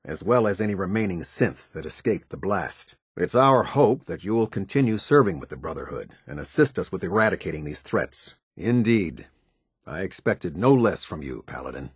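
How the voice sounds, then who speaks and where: An American man playing a stern, formal military officer in a video game, speaking with husky, clipped, measured authority. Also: deep voice, big pitch swings, dry recording, clean studio sound, heavily acted. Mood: measured, grave briefing, then formal approval. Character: stern, formal male military officer of a post-apocalyptic order. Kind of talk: video game character dialogue.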